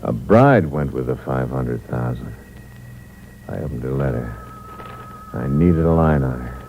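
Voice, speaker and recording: An actor playing a cold, hard-boiled impostor who narrates the story in a terse, clipped manner, in a 1950s radio drama. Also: male voice, rumbling low, lively intonation, measured pace, vocal fry, faint music behind, full character voice. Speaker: narrator and lead. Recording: muffled old radio recording with hiss.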